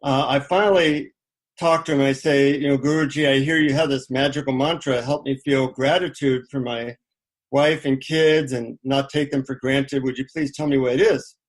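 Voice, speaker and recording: A man's voice: mid-range voice, steady tone, moderate pace. Middle-aged man telling personal stories. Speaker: interview guest, author. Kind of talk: conversational interview, personal anecdotes.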